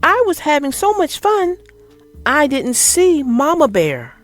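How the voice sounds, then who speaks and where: A woman narrating a children's picture book as an expressive, theatrical read-aloud. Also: high voice, slow, no room sound, faint music behind.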